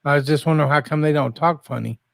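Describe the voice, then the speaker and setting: Male, informal group chat, mid-pitched and creaky, dry recording. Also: quick pace, no echo. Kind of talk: casual chat.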